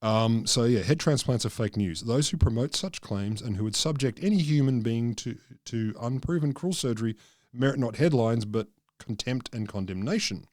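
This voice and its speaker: Middle aged guy on a science podcast, kinda halting n jokey, mid-pitched voice, close dry mic. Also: a little mellow, no echo. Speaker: podcast co-host. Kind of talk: casual science chat, explaining from notes. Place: small book-lined room, indoors. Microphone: close podcast microphone, headphones.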